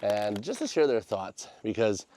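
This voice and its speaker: A man's voice: on the low side, very animated, unhurried, warm tone, slight background noise. An American man with a congested, nasal voice chats casually as a vlogger, rambling in informal, slangy language. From United States. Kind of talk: casual vlog chat.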